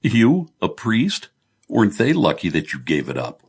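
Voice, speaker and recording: A man's voice: on the low side, wildly swooping pitch, measured pace, slightly rough, pitched above the performer's own voice, exaggerated voice. A man voicing an affable, confident architect in an audiobook, chummy, agreeable and mildly amused. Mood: amused, curious. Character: George, affable, confident male architect, amused old friend.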